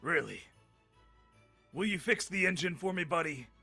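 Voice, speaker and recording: Man acting a high, creaky, weary old Santa. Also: animated, normal speed, pushed and bright, faint music behind, heavily acted. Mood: encouraging, urgent. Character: old Santa Claus, weary, anxious but kindly. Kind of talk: live table read of a film script.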